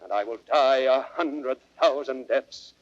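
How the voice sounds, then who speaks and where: An actor playing a bold, teasing young prince in a theatrical, declamatory old radio Shakespeare style. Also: male voice, mid-range voice, measured pace, no room sound, close to an everyday voice. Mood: solemn vow. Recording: dry, muffled vintage recording.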